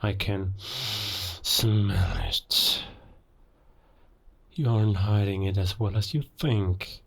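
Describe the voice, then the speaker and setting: An actor playing a proud, menacing werewolf villain in a cinematic audio roleplay, speaking deliberately as a gloating king of beasts. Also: on the low side, gravelly, a bit bright, slightly rough, exaggerated voice. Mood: gloating menace. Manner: slow, deliberate, menacing, grandiose.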